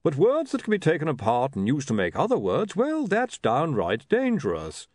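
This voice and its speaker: A man's voice: on the high side, huge pitch swings, normal speed, darkish tone, dry recording, pitched above the performer's own voice. A man voicing a blunt, blustering, impatient chief priest in a fantasy audiobook. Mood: indignant.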